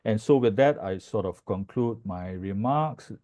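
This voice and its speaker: Male consultant presenting over a video call; hesitant, restarting delivery, low warm voice. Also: lively intonation. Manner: halting, with restarts and fillers. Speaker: market entry consultant presenting. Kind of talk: webinar presentation.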